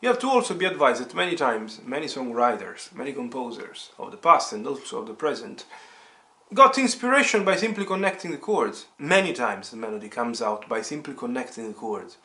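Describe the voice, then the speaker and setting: A man's voice: medium pitch, big pitch swings, brisk, bright, forceful tone. Man teaching piano step by step. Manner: didactic, step-by-step explaining with repetition. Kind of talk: informal instructional tutorial at the piano. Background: piano being played.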